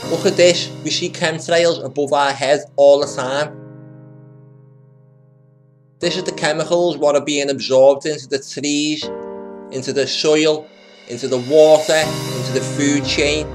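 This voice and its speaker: A man narrating a video as conspiracy commentary. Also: on the high side. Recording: music playing behind the voice.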